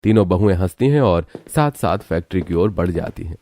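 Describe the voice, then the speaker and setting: A man's voice: low voice, lively intonation, fairly quick, mellow, no room sound. A man narrating a scripted cartoon family story.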